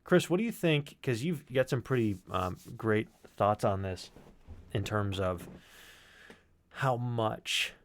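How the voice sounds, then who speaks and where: A man's voice: on the low side, lively intonation, slow. A man presenting as a sound designer, talking through his work in a reflective, conversational way. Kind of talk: informal explanatory walkthrough on a livestream.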